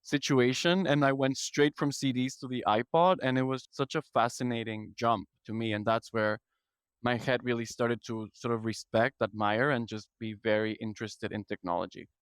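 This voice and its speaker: A man's voice: mid-range voice, fairly even intonation, moderate pace, clear, resonant tone, no room sound. A man in his thirties from Ecuador with an Ecuadorian accent, a podcast guest answering questions in a thoughtful, articulate way. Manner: thoughtful, articulate, lists ideas in sequence. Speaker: podcast guest, product executive. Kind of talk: conversational interview answers.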